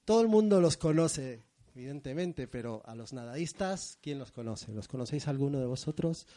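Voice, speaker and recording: A man's voice: mid-range voice, animated, normal speed, warm tone. A middle-aged man from Peru hosting a live poetry segment before an audience, moving from theatrical introductions to loose, self-mocking asides.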